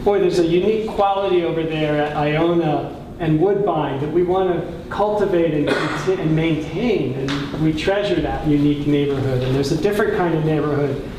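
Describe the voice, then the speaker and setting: A soft-voiced man addresses a council meeting in a slightly high register. He is thoughtful and halting, often searching for the right word. The room is very reverberant, with clear noise behind him and the microphone some way off. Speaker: borough councilor speaking at a caucus meeting. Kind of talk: extended remarks at a public meeting. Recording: echoey room, distant mic, clear background noise.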